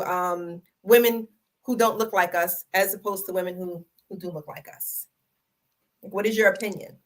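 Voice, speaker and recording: A woman's voice: mid-range voice, fairly bright tone. A woman hosting a casual panel discussion, putting questions to her guest in a conversational way. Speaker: panel show host. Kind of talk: casual interviewing. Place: online group video call.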